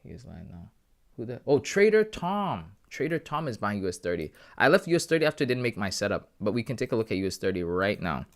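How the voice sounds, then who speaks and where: A man's voice: on the low side, very animated, quick pace. A man in his thirties chatting casually with viewers on a live trading stream, slangy and laughing often. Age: 30s. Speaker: livestreaming forex trader.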